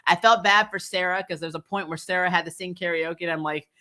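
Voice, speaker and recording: A woman giving a casual, opinionated recap as an online reviewer, expressive and informal in her slangy delivery. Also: fairly low pitch, brisk. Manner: expressive, informal slang, frequent laughing asides. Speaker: YouTube TV show reviewer, host.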